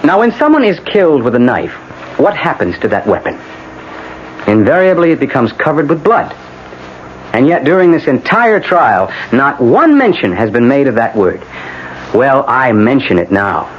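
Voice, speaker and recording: An actor playing an insistent defense attorney in a radio drama, speaking in a dramatic, rhetorical, emphatic way. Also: male voice, mid-range voice, sing-song, moderate pace, close to an everyday voice. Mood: grave, theatrical. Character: theatrical, insistent male defense attorney addressing a court. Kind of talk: 1970s-80s radio drama acting. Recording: dull, hissy old radio broadcast.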